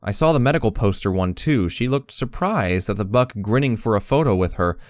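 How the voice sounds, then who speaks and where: Audiobook-style narrator, male actor: timid young pony, earnest, slightly low, dry close recording. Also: animated, fairly quick, no echo, natural voice. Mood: reflective. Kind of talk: first-person story narration.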